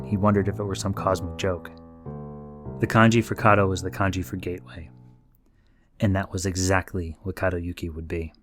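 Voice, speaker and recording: A man with a very low voice narrates fiction from a script, as in an audiobook. Music with guitar and piano plays clearly behind his reading.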